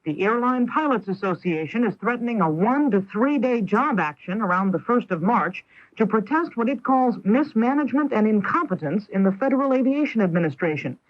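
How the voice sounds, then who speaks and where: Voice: medium pitch, expressive, fairly quick, muffled recording. A news anchor reading scripted radio news on an old 1980s broadcast.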